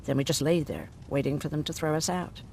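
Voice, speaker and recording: An actor playing a blunt, sardonic, weary survivor of a machine war in a video game. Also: male voice, on the high side, steady tone, fairly quick, creaky, darkish tone, slight background noise. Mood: grave recounting.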